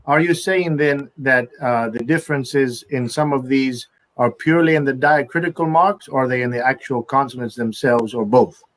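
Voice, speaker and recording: Male interviewer of mid pitch, agreeable and affirming, asks for clarity. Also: normal speed, few pauses. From half Iraqi, half American. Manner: attentive, frequently affirming, asks clarifying questions. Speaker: host. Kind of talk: interview questioning.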